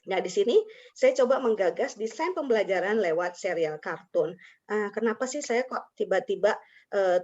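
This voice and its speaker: A woman presents a teacher-training webinar over a video call, talking in a chatty, friendly way and often checking in with participants by name. Her voice sits at a middle pitch. The audio is dull and lo-fi. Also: a little mellow.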